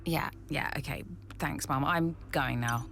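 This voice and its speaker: A woman's voice: sits low, a little slow, gravelly, a bit bright, a little hiss or hum, full character voice. A woman playing a headstrong young female racing driver, blunt, sardonic and emotional, in a game cutscene. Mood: weary, wry. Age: young adult. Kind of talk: dramatic video game cutscene acting.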